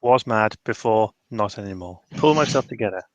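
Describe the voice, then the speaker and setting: A man improvising in character during a tabletop roleplaying session, halting and restarting his phrases as he goes. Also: fairly low pitch, expressive, dry recording, dull audio.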